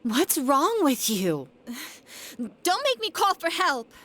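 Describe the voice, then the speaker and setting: Haughty, high-voiced indignant woman. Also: sing-song, slow, a bit bright, heavily acted. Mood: indignant, alarmed. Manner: curt, affronted. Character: haughty, indignant adult woman under threat. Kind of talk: dramatic video game voice acting.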